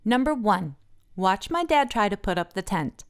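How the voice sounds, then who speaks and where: A woman's voice: medium pitch, very animated, moderate pace, clean tone. A woman narrating a picture book aloud for children as the host of a read-aloud.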